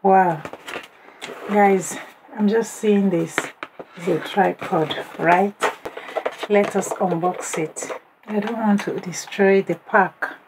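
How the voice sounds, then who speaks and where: A woman with a hoarse, half-lost voice talks slowly and excitedly to her viewers while she unboxes birthday presents at home. She exclaims at each gift, and a clear hiss of noise runs under the recording. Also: mid-range voice. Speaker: vlogger. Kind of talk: casual unboxing vlog.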